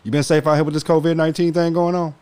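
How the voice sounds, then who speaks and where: A man's voice: on the high side, flat delivery, racing, a little mellow, clear, resonant tone. A man co-hosting a homemade radio show, drawling and repeating himself in profane banter. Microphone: studio mic with headphones.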